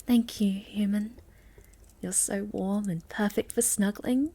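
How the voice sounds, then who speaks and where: A woman playing a sweet, shy, gentle moth-girl monster in a soft, halting ASMR roleplay, often slipping toward a whisper. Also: mid-range voice, fairly even intonation, husky. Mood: cozy, shy.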